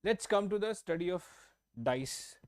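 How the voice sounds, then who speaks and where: Man, slightly high voice, online math lesson, repeats and checks in with students. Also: lively intonation, measured pace, darkish tone, a little hiss or hum. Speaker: math teacher. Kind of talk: online exam-prep class. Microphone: own microphone, online class.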